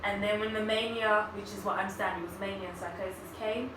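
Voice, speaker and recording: A young woman from Zambia, raised in Botswana, speaking haltingly as an interview guest, with many fillers and restarts. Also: mid-range voice, fairly even intonation. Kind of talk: personal story in an interview. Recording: echoey room, far from mic, background noise.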